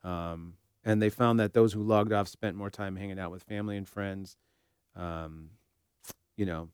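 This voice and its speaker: Smooth, low-voiced man co-hosting a podcast. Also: darkish tone, no room sound, clean studio sound. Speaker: podcast host. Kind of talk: casual conversational discussion.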